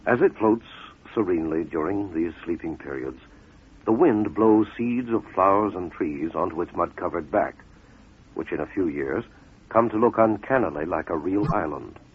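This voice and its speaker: A man narrating a fable as a scripted dramatic storyteller, in measured, deliberate phrases with pauses for effect, on a muffled radio recording. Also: low-pitched, animated, husky.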